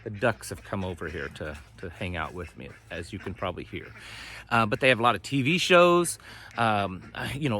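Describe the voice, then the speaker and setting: Low-voiced American man, rambling and folksy. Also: bright, forceful tone, a little hiss or hum. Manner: rambling, folksy, trailing off mid-thought. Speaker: host of a homesteading and prepping channel. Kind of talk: casual vlog talk to camera. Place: outdoors on a homestead, ducks nearby.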